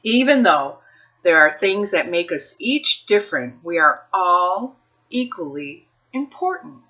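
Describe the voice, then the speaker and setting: Female storytime librarian. Highly expressive, slow-paced delivery aimed at young children, with questions to listeners. Slightly muffled recording. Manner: addresses young children with questions, deliberate phrasing. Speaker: children's librarian reading a picture book aloud. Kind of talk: children's storytime read-aloud with asides to listeners.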